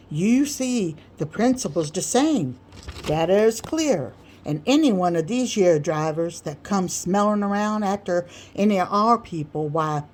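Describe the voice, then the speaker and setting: A woman's voice: medium pitch, darkish tone. A woman voicing a pompous, boastful man who holds forth in folksy dialect with grandiloquent emphasis, in an audiobook reading. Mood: rousing, boastful. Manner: grandiloquent, emphatic, folksy dialect speech with mangled big words. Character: pompous, boastful male orator holding forth to admirers.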